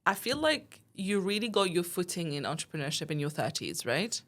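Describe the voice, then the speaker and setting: A woman hosting a podcast interview, asking questions conversationally and encouraging her guest with quick affirmations. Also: on the low side, very animated, fairly bright tone. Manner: encouraging, with frequent short affirmations. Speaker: podcast host and university teacher.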